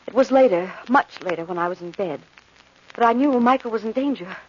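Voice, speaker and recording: A woman's voice: a little high, expressive, brisk, a little hiss or hum, lightly acted. An actress playing an anxious, devoted young woman full of dread, earnest and melodramatic in old radio horror style. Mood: hushed dread. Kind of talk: old-time radio horror acting and narration. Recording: muffled old radio recording.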